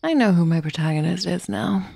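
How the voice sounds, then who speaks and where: A woman playing an obsessive, vengeful murderous writer in an ASMR roleplay, sardonic and menacing, slipping into breathy whispers. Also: lowish voice, brisk, darkish tone, no echo. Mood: menacing, brooding. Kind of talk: ASMR roleplay, dramatic monologue.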